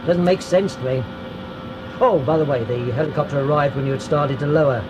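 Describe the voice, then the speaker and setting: A man playing a blunt young rig worker, rumbling background. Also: brisk, highs cut off, background noise, lightly acted. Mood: probing. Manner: blunt, questioning. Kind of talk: radio drama acting.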